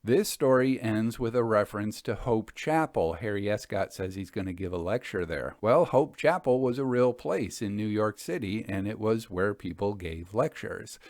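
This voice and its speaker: A man hosting a story podcast, speaking in a measured, deliberate way as he reads and comments on literary tales. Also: on the low side, a little mellow, no echo, studio quality. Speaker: host and story reader.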